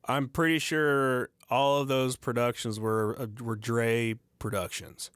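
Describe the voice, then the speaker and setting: A man's voice: medium pitch, level tone, measured pace, a bit bright, smooth, dry recording. A young man as a podcast guest, talking in a laid-back way and trailing off. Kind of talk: casual chat.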